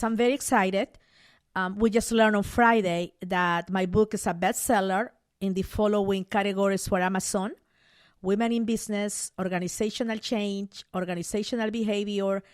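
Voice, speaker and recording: A woman's voice: medium pitch, steady tone, moderate pace, dry recording. Middle-aged Peruvian woman, dry sound. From Peru. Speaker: guest author. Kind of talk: podcast interview.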